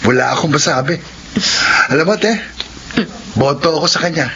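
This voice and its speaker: High-pitched, boyish younger brother. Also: male voice, normal speed, sharp tone. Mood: hopeful, admiring. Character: young working brother, hopeful and appreciative. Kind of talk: Tagalog radio drama acting. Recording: dull, faintly noisy radio drama audio.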